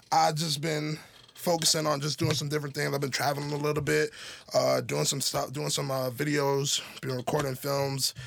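Gravelly, bright-voiced young man. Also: mid-range voice, no echo. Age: 20s. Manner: laid-back slangy talk, many "you know what I'm saying". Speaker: podcast co-host. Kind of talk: casual chat.

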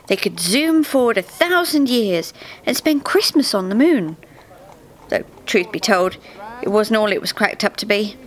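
A woman narrating a scripted comic story expressively, as a storyteller reading live. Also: mid-range voice. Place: small theatre, live reading. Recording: faint noise, live theatre reading.

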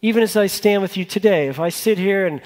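High-voiced male pastor in a reverberant hall. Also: fast, a little mellow. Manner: informal, repeats phrases for emphasis, asks listeners questions. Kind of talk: conversational sermon. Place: large church hall with a congregation.

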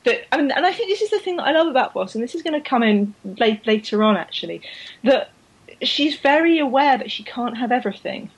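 A woman's voice: sits high, animated, fairly quick, husky, poor audio. A woman co-hosting a podcast, chatting casually and laughing often, with frequent restarts. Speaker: podcast co-host. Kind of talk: casual chat about women's cycling.

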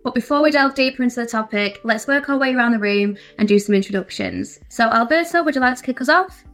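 A woman's voice: on the high side, fast talker, fairly bright tone, faint music behind. A woman hosting a podcast panel, introducing the guests and moderating the talk in a friendly, upbeat way. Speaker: podcast host.

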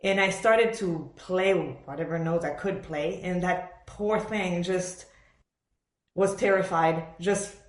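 A woman's voice: on the low side, takes it slowly. A woman from Argentina and Montreal speaking as an interview guest, telling personal stories conversationally and acting out quoted remarks. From born in Argentina, raised in Montreal, Canada. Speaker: podcast guest, professional orchestral bassoonist. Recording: echoey room sound.

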